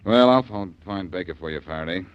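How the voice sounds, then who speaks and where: A man's voice: low voice, fairly quick, clear, resonant tone, natural voice. A man playing a wry, self-assured detective posing as a convict in a 1940s radio crime drama. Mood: confident. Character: wry, cocky male detective undercover as convict. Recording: dull vintage radio recording.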